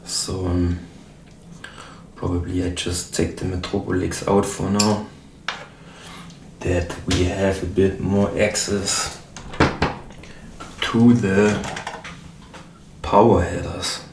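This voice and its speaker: A low-voiced man with a German accent talks in a slow, unhurried way while he works. He often stops to hunt for English words. He sits well back from the mic in a roomy space with faint background noise. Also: level tone, recorded from a distance. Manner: halting, searching for English words. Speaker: modular synth hobbyist. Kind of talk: casual talk while assembling gear.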